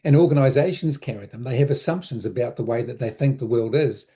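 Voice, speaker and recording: A man with a notably dark, soft-edged voice at an ordinary male pitch. He explains things conversationally, like an advisor being interviewed. His delivery is reflective, circling back and rephrasing as he goes. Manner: reflective, halting, repeats words and rephrases as thinking aloud. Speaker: interview guest, business advisor.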